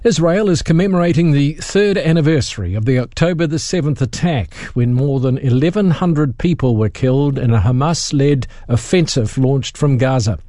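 A man reading a radio news bulletin in a crisp, formal way. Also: medium pitch, normal speed, few pauses, mellow, dry recording, studio quality. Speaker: newsreader.

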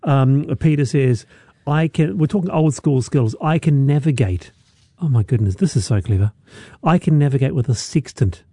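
Mellow-voiced male radio host. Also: medium pitch, expressive, normal speed, slightly rough, dry recording, studio quality. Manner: upbeat, reads out listener messages. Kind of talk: casual radio chat.

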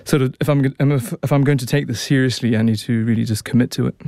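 A young man with a New Zealand accent talks easily as a radio guest, often restarting his sentences. His voice sits in a middle pitch. The sound is dry and close, with no room around it. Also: steady tone, quick pace, creaky, dark tone, no echo. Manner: chatty, many restarts and fillers. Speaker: opera tenor, guest. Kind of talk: relaxed radio interview.

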